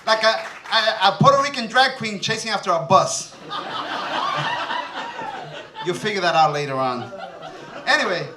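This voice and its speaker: A man's voice: high-pitched, noisy background. A man with a Cuban-American accent hosting an awards ceremony, teasing the crowd and making fun of himself. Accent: Cuban-American, raised in New Jersey. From Cuba. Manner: teasing, self-mocking, quick jokes. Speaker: host and emcee. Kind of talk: joking emcee speech at an awards ceremony. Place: stage in an event hall. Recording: roomy hall, mic heard from far off.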